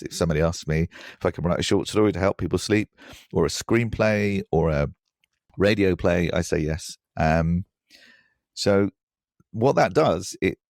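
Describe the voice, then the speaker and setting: Male interview guest: low register with creaky fry, hesitant and self-deprecating, reflective conversational delivery. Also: darkish tone, no room sound, clean studio sound. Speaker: podcast guest, writer.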